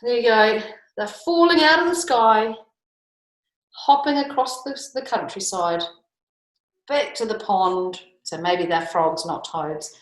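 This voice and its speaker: A woman with a New Zealand accent talks children through a picture book as a teacher, playful and animated, often asking them questions. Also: mid-range voice, slow. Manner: often chuckles as she talks. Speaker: school teacher reading picture books to children. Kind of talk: read-aloud storytime, talking children through a picture book. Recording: echoey, roomy home-style recording.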